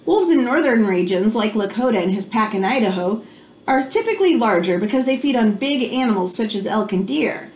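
An American woman in her thirties reading a children's nonfiction book aloud at home, pausing to explain things simply like a teacher. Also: a little high, animated, darkish tone. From United States. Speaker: read-aloud host for children. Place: small room at home, indoors.

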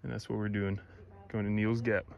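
A young man from Florida, chatting casually to his phone camera while hiking a forest trail, upbeat and filling pauses with "uh". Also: lowish voice, little pitch movement, vocal fry, dull audio. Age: young adult. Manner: upbeat, chatty, thinks aloud with fillers. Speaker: hiker vlogger. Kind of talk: casual vlog narration. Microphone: phone at arm's length. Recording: faint outdoor noise.

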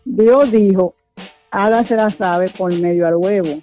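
A woman on a phone call, talking nervously and haltingly and repeating herself. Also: mid-range voice, dark tone, soft background music. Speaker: prank call victim. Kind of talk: phone conversation. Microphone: telephone call.

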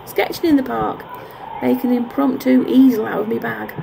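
A woman's voice: fairly high pitch, steady tone, normal speed. A woman giving a casual, musing narration of a park walk, correcting herself as she goes, recorded outdoors on a handheld camera. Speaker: vlogger. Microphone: handheld camera's built-in mic. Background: clear park ambience noise.

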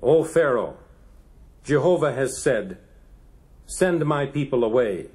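A man's voice: mid-range voice, animated, slow pace, darkish tone. A man playing a solemn Hebrew prophet delivering warnings in a declamatory way in a biblical audio drama. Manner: declamatory, stern.

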